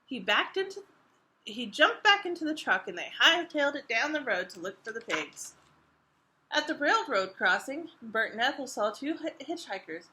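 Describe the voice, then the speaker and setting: A woman reading a picture book aloud to toddlers in an expressive storytime manner, pausing to put questions to the young listeners. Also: high voice. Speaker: children's librarian reading a storytime.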